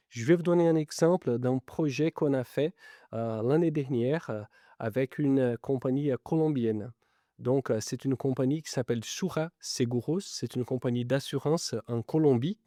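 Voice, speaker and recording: A man's voice: mid-range voice, warm tone, dry recording, clean studio sound. A man from Italy and Brazil speaking French with an Italian-Brazilian accent, a non-native speaker answering interview questions as a lecturer-researcher, hesitating often with fillers. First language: not French. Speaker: business school lecturer-researcher.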